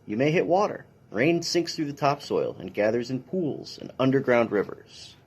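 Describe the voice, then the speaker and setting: A man's voice: mid-range voice, big pitch swings, moderate pace, slightly rough. A man, a police officer, reading a children's science picture book aloud in an instructional way with brief dry asides.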